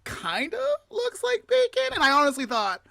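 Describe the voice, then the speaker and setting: A woman's voice: high-pitched, wildly swooping pitch, normal speed, gravelly, bright, forceful tone, no echo. A woman co-hosting a podcast in casual chat, with a giggly, jokey, informal manner. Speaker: podcast co-host.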